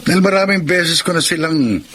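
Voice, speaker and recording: An actor with a Filipino accent playing a worried, tentative husband in a radio drama, speaking haltingly. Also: male voice, a little high, a bit bright, a little hiss or hum, lightly acted. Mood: grave. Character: hesitant, worried husband and brother-in-law. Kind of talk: Tagalog radio drama acting.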